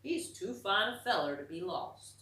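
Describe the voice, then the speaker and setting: A woman's voice: mid-range voice, lively intonation, normal speed, bright, forceful tone. A woman voicing a kindly, thoughtful young man, an artist in a children's storybook, in an emphatic, musing way. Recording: roomy echo, distant from the mic.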